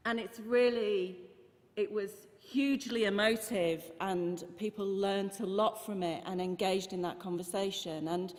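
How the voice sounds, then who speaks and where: A woman organiser giving an earnest open mic talk at a conference, with frequent ums and restarts. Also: mid-range voice. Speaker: festival organiser. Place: church hall conference. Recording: long reverb of a large hall.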